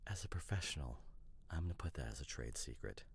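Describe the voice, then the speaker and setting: A man playing an opinionated, teasing boyfriend in an ASMR roleplay, chatting casually in a hesitant, rambling way with mock seriousness and a gravelly voice. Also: extremely low pitch, expressive, quick pace, full character voice. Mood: playful. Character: opinionated, teasing boyfriend debating couple's costume ideas.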